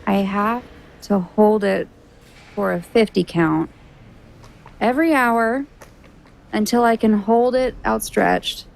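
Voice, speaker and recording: An actress playing a determined young female sword trainee in an audio drama, creaky and straining with effort. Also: mid-range voice, steady tone, slow pace, long pauses. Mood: strained.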